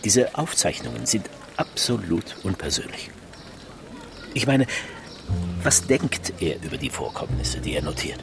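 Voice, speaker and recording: A man's voice: low voice, sing-song, a little slow, creaky, long pauses, over music, full character voice. A man playing a persistent police detective in a German radio crime drama, reasoning and questioning insistently. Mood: thoughtful.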